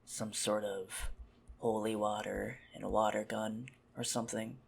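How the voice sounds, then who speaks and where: A man playing a teasing, menacing, possessive vampire in an ASMR roleplay, mocking and condescending, with a husky, breathy, gravelly voice that often drops to a whisper. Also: fairly low pitch, level tone, a little slow, exaggerated voice.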